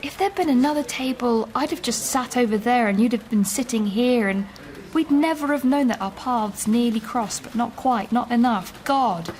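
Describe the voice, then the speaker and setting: A woman's voice: on the high side, normal speed, hardly any pauses, darkish tone, close to an everyday voice. An actress playing a chatty woman in her thirties from Leeds and Bristol, who rambles and laughs easily, in a radio drama. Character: chatty woman living in London, warm and talkative. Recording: radio drama with background noise.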